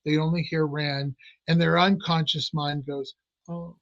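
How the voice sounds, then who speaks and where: A man's voice: fairly high pitch, little pitch movement, a little slow, vocal fry, fairly bright tone, dry recording, muffled recording. A man, a clinical hypnotist, explaining his work in a casual interview, with frequent fillers and restarts. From Italian American family.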